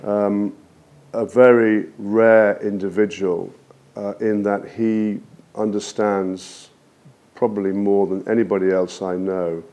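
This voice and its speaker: A man with a lowish, dark voice speaks slowly and deliberately in an even, flat tone as he praises someone. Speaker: speaker giving a tribute.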